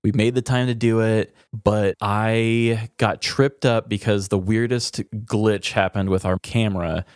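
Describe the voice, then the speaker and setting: Low-voiced man chatting casually, dry close sound. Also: fairly even intonation, a little slow, hardly any pauses, darkish tone, clean tone, no echo. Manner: informal, self-deprecating, swears freely. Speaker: podcast co-host. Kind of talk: casual chat.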